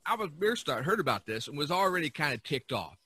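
A man's voice: fairly high pitch, quick pace, slightly rough. A man hosting a casual podcast discussion, telling stories with enthusiasm. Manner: enthusiastic, animated storytelling. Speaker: podcast host. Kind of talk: casual art history discussion.

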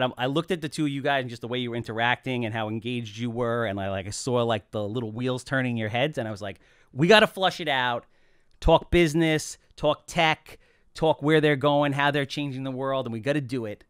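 A middle-aged man hosting a podcast, joking and animated, talking into a close boom mic in a small office studio. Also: brisk, smooth, no echo. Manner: animated, joking, gestures broadly while talking. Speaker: podcast host. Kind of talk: casual podcast chat.

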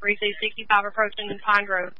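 Dispatcher, quick flat delivery, dry muffled radio audio, terse repeats. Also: medium pitch, dry recording, faint music behind. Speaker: police dispatcher.

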